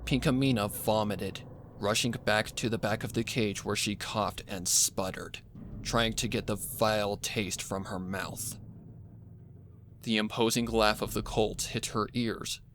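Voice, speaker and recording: A man's voice: lowish voice, fairly bright tone, a little hiss or hum. A man reading a scripted horror story as narrator, with music playing behind him. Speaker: fan fiction narrator.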